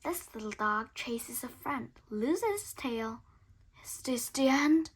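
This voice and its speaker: A woman reading a children's picture book aloud in a theatrical way, moving between hushed whispers and emphatic bursts. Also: a little high, expressive, slow, noisy background.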